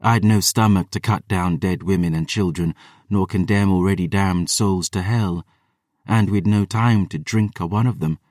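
A man's voice: sits low, normal speed, a little mellow, no echo, clean studio sound, distant mic, lightly acted. A man voicing a proud, battle-hardened female vampire warrior, telling of battle in an intense, grave, theatrical way. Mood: fierce, grim. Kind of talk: dramatic first-person storytelling.

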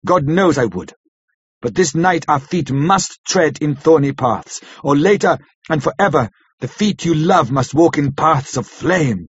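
A man voicing a grave, elderly foreign professor in an audiobook, stern yet compassionate, speaking in solemn, halting, formal phrases. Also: a little high, animated, breathy edge, no echo, lo-fi sound. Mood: pitying, solemn. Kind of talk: dramatic audiobook character reading.

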